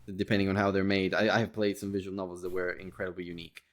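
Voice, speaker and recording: A man hosting a podcast in a casual interview style, speaking haltingly and often repeating words as he goes. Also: sits low, flat delivery. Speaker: podcast host.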